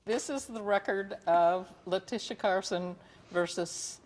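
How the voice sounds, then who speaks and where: A woman's voice: mid-range voice, a little slow, darkish tone. A woman telling a historical account as an interviewee in a documentary.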